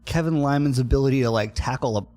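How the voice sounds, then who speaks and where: A man's voice: mid-range voice, fast talker, warm tone. A middle-aged man from Florida, a guest musician on an interview podcast, telling stories in a laid-back way, often dropping "man" and "like". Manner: laid-back and storytelling, says "man" and "like" a lot. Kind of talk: casual interview chat.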